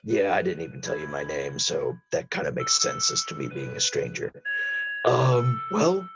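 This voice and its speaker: A man voicing a gruff, husky whale interrogator with a stern, deliberate manner in an improvised audio drama. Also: big pitch swings, dull audio, over music, full character voice. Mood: offering, friendly. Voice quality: husky, low. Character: gruff whale interrogator hunting crab drug smugglers.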